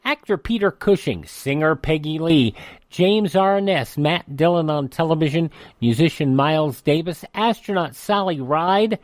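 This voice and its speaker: Higher-pitched American man reading radio history dates. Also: expressive, measured pace. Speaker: radio host.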